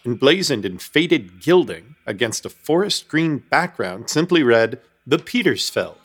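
A man's voice: mid-range voice, fairly bright tone. A man narrating a comic horror radio play in an ornate, mock-grave, wry storytelling manner.

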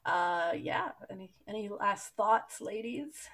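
A woman's voice: mid-range voice, expressive, slow, fairly bright tone. A woman hosting a podcast, chatting casually and joking with co-hosts. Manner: joking, chatty, many fillers. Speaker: podcast host.

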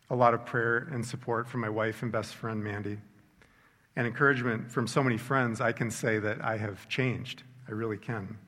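A middle-aged man giving a sincere personal faith testimony, measured and reflective, slowing down for emphasis. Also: medium pitch, fairly bright tone. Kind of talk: earnest reflective testimony talk. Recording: long hall reverb.

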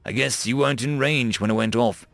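A man's voice: medium pitch, brisk, creaky, fairly bright tone, no room sound, soft background music. A man voicing a wry, easygoing Marine squadmate with casual, explanatory banter in an audiobook. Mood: resigned.

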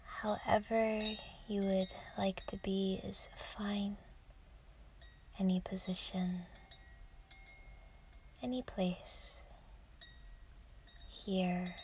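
A woman guiding a yoga nidra meditation in a soft, breathy near-whisper, gentle and soothing, with unhurried pauses. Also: mid-range voice, flat delivery, a little hiss or hum. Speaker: meditation guide.

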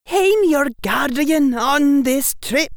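Strict dignified witch teacher, high voice, slow and formal, bossy, audiobook character. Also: lively intonation, no echo, clean studio sound. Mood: stern, dangerous. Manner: measured, authoritative, formal.

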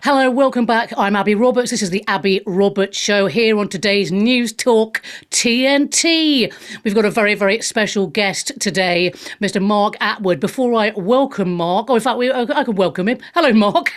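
A woman's voice: medium pitch, normal speed. A woman hosting a radio show in a chatty, conversational way, often stumbling over and repeating her words. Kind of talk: casual radio interview chat.

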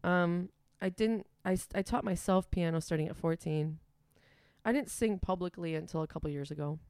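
A young adult woman with a Wisconsin accent, a musician chatting casually in an interview, full of "like" and "um" and quick to laugh. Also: on the low side, darkish tone, smooth, dry recording, studio quality. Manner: chatty, frequent "like" and "um", laughs easily. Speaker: musician guest.

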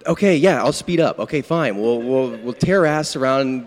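Put-upon fiancé acted by a man, grumbling compliance, medium pitch, improvised radio comedy in an echoing hall. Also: lively intonation, normal speed, natural voice. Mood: resigned. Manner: resigned, grumbling. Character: henpecked, grudgingly obedient male fiancé. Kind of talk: improvised parody of a radio play. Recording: reverberant hall.

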